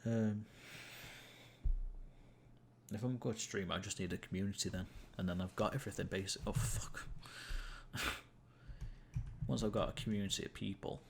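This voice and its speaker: Young male streamer, low gravelly voice, easygoing rambling chat, faint hiss on the mic. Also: steady tone, moderate pace, pauses often. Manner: rambling, self-amused, many fillers and restarts. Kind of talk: casual gameplay chat to viewers.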